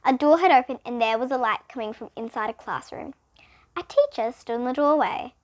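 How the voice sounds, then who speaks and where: A female reader giving an expressive, dramatic read-aloud of a children's storybook. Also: a little high, fairly quick, dry recording. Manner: animated, dramatic reading. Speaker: reader of children's bedtime books.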